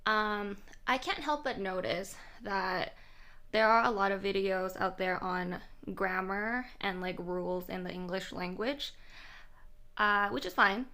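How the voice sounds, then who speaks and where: A woman's voice: medium pitch, measured pace, bright, forceful tone. A young woman from Thailand, a native Thai speaker, talking casually in English to camera with plenty of fillers. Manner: chatty, frequent fillers and laughs. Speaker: vlogger.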